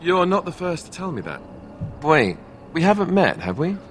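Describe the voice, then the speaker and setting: A man's voice: a little high, very animated, normal speed, a little hiss or hum. A man playing a wry, sarcastic young warden in a video game, glib and affable, with a dry, flippant delivery. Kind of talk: video game dialogue acting.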